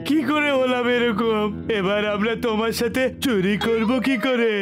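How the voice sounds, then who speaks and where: A dim, excitable cartoon henchman with a very high voice. He wails and repeats his simple phrases in broad comic style, with clear music behind him. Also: normal speed. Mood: dismayed. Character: whiny, dim, excitable male cartoon crook's sidekick. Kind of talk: exaggerated cartoon comedy acting.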